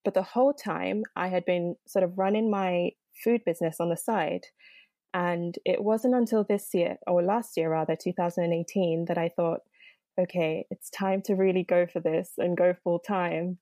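A young woman from Nigeria speaks as a podcast guest, her voice mellow and a little low. She tells her story at length, loose and chatty, with plenty of fillers. The recording is close and dry. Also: fairly even intonation, normal speed, dry recording. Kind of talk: interview conversation.

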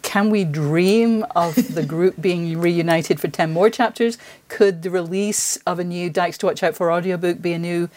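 A woman's voice: on the low side, normal speed, few pauses, a little mellow. A woman hosting a podcast, interviewing a guest in a conversational style.

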